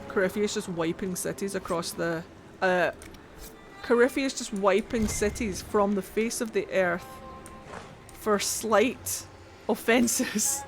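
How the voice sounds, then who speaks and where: Woman, Scottish accent, game streamer, casual, swears, mid pitch. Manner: chatty, swears freely, talks to her chat. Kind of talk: casual gameplay commentary and dramatic codex reading.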